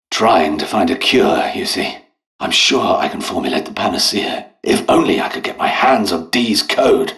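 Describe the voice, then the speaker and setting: Game role: dutiful British scientist-physician, genteel and faltering, very animated intonation, measured slow delivery. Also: male voice, on the low side. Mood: apologetic, preoccupied. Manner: genteel, hesitant, old-fashioned politeness. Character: polite, dutiful, harried English royal doctor and scientist. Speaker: video game voice actor. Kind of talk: scripted game character dialogue.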